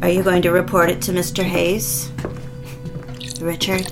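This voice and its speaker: A woman's voice: fairly low pitch, completely flat delivery, measured pace, over music. An actress playing a proud, bitter young married woman who is drunk, haughty and sarcastic, in a period audio drama. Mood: sardonic, probing. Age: young adult.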